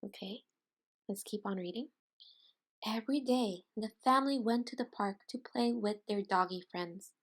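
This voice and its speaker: A woman teacher doing an interactive storybook read-aloud, expressive and deliberate, pausing to put questions to young listeners. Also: mid-range voice. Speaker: teacher reading aloud to children.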